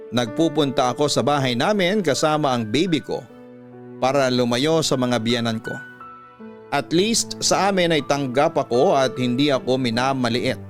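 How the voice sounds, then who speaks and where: A man narrating a listener's story as a radio host, in scripted dramatic storytelling style. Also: medium pitch.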